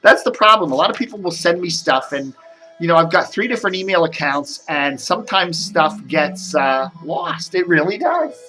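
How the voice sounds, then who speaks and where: A man's voice: fairly high pitch, expressive, normal speed, pushed and bright, faint music behind, poor audio. An American man chatting casually to camera as a video blogger, meandering and thinking aloud. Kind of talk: casual rambling chat to camera. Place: indoors at home.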